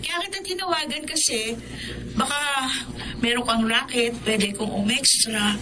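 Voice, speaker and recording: A woman's voice: fairly high pitch, measured pace, close to an everyday voice, low-quality recording. A woman acting in a radio drama as an eager, friendly young factory worker with a coaxing manner. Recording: noticeable background noise.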